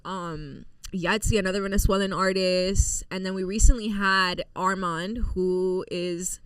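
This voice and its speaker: Young woman guest, lively storyteller, bright tone, slightly off-mic dry room. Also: mid-range voice, unhurried, smooth, no room sound, distant mic, rough recording. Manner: animated storyteller, acts out quotes, heavy Spanglish. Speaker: podcast host, guest. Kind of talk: casual chat.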